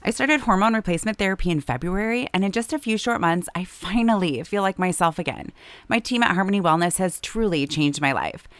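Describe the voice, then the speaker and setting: A woman, hosting a podcast. She is hoarse from a long illness, and her deep, raspy voice has a lot of vocal fry. She chats easily and laughs often. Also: animated, brisk, dry recording. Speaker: podcast host. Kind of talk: casual chat and read ads.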